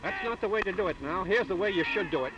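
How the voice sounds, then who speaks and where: Male American coach, high voice, halting informal instruction, dull vintage newsreel recording. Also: animated. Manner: halting, plain-spoken. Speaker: baseball club figure, coach. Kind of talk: informal pep talk and demonstration for camera. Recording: dull, noisy 1930s newsreel sound.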